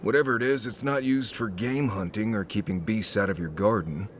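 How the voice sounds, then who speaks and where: A man's voice: lowish voice, brisk, slight background noise, close to an everyday voice. An actor playing a plain-spoken, seasoned male tracker companion in a video game. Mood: musing, matter-of-fact.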